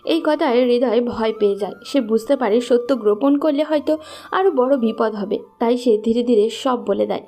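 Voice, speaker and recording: A woman's voice: a little high, moderate pace, mellow, soft background music. A woman narrating a scripted story as its storyteller.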